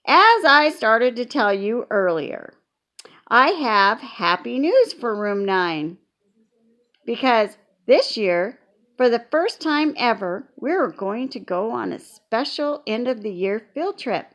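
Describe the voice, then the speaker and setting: A woman reading an audiobook and voicing a patient female schoolteacher who explains things slowly to young children. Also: on the high side, very animated, a bit bright, heavily acted. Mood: cheerful. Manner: slow, measured, explaining to children.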